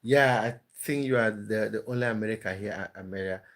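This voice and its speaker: A man's voice: fairly low pitch, quick pace, a bit bright. Chatty man hosting a late live stream. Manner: chatty, laughing, answers viewer comments one by one. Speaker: live-stream host reading chat. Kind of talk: casual live-stream chat.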